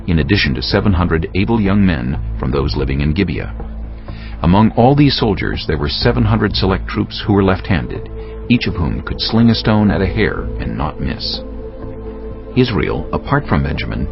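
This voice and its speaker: A man's voice: sits low, vocal fry, highs cut off. A man narrating dramatized scripture as a solemn storyteller.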